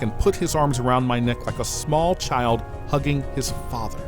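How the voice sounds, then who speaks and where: A man playing a loyal, modest, watchful aide and bodyguard in a science fiction audio drama, speaking in a measured, formal, earnest way. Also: medium pitch, lightly acted.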